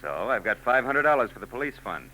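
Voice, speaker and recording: An actor playing a world-weary private eye with a laconic, hardboiled delivery, in 1940s radio-drama style. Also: male voice, medium pitch, very animated, fast, pushed and bright, a little hiss or hum, rough recording. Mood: wry.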